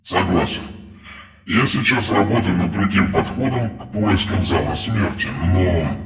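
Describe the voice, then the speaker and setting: A mysterious hacker played by a male narrator speaks through a synthetic mask. The voice is deep and wrapped in echo, with calm, measured, terse delivery suited to an audiobook thriller. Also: faint music behind. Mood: grave, composed.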